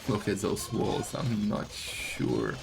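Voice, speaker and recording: Creaky-voiced man commentating a game match. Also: on the low side, very animated, slow, faint music behind. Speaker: esports commentator. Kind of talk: casual live game commentary.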